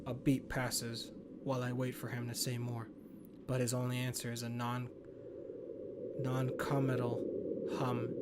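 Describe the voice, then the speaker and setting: A man reading a horror game's story narration aloud as a gaming host. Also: medium pitch. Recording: clear background noise.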